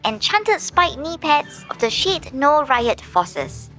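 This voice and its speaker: A showy video game announcer played by a woman, pitched high and full of swoops. She reads out stats with mock grandeur and a snarky edge, with soft music underneath. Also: sing-song, slow pace, heavily acted. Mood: brisk, snarky. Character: showy female game announcer AI reading out awards and item stats. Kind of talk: game system announcements, scripted.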